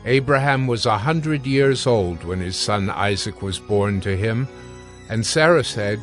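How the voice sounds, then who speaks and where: A man's voice: fairly low pitch, animated, a bit bright. Male scripture narrator over music. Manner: formal, measured. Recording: background music under the voice.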